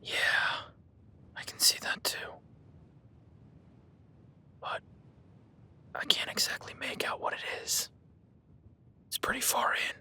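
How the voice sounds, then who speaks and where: A man playing a gruff cowboy hunter in an audio roleplay, whispering while stalking and swearing and giving orders in a crisis. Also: slow, long pauses, pushed and bright. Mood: hushed, tense. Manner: swearing, commanding, urgent. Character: gruff rugged cowboy hunter tracking a beast. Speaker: ranch hand tracking a beast.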